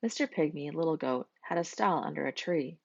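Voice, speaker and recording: A woman's voice: deep voice, lively intonation, a little fast, gravelly, no room sound, lo-fi sound. A woman reading a scripted children's story as its narrator. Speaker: storybook reader.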